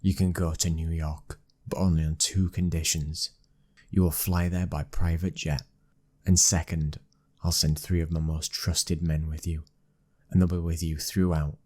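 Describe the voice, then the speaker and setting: Very deep, husky British mafia heir played by a man. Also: a little mellow, exaggerated voice. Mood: reluctant, firm. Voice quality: low, husky. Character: commanding, protective young male mafia boss's son, loving husband. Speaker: ASMR roleplay performer. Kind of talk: ASMR boyfriend roleplay.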